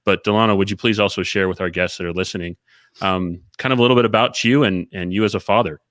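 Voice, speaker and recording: A man's voice: low-pitched, fairly even intonation, quick pace, fairly bright tone, dry recording, studio quality. A man hosting a podcast, introducing his guest politely and formally. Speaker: podcast host.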